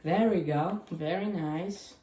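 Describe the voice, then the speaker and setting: A woman whose first language is Chinese, chatting casually and haltingly through a cube unboxing with small grammar slips. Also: on the low side, mellow. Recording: echoey room with clicking noise.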